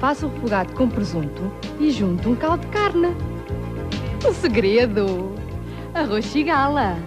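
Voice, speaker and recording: An actress playing a cheerful home cook sharing tips in a food advert. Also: female voice, on the high side, sing-song, unhurried, over loud music. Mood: confiding.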